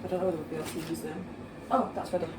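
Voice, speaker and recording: Young Yorkshire woman, casual rambling vlog chat, slightly low voice, echoey room with noticeable background noise. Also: steady tone, rapid-fire, recorded from a distance. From Yorkshire, England. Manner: chatty, rambling, with many fillers. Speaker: vlogger. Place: home kitchen, indoors.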